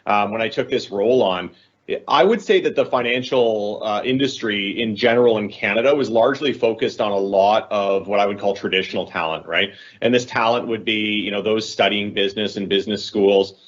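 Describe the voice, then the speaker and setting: A man's voice: fairly low pitch, slightly rough, highs cut off. A man speaking as a corporate talent head on a panel, explaining his points reflectively and correcting himself as he goes. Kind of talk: conversational panel answer.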